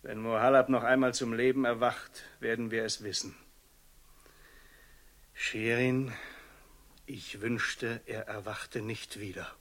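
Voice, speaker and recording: An actor playing a grave, suspicious ruler in a German radio play, speaking with measured authority. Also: male voice, medium pitch, fairly even intonation, fairly bright tone. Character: stern, commanding male ruler, grave and suspicious.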